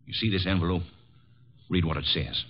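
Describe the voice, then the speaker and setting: An actor playing a bitter, stubborn drifter bent on revenge, blunt and intense, in an old radio drama. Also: male voice, sits low, fairly even intonation, fairly quick. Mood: grim resolve. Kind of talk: old-time American radio drama acting. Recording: dull old radio broadcast recording.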